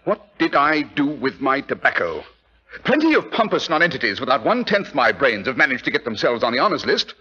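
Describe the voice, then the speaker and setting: An actor playing an arrogant, witty English gentleman detective in a 1940s radio drama, sardonic and theatrical, with a British accent. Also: mid-range voice, very animated, fairly quick, husky, full character voice. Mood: haughty. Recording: dull vintage radio recording.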